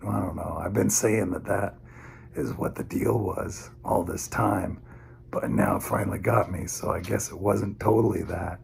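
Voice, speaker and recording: A man with a cold and a hoarse voice, rambling through a casual video diary and thinking aloud as he goes. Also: fairly low pitch, slight background noise. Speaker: health vlogger.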